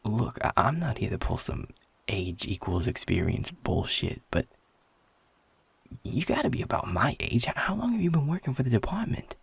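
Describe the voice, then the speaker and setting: A man voicing a feisty, flirtatious werewolf in an intimate audio roleplay, gruff, cocky and blunt, with a gravelly, husky voice. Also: mid-range voice, big pitch swings, moderate pace, no echo, full character voice. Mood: stunned, curious. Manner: swearing, teasing, informal and blunt.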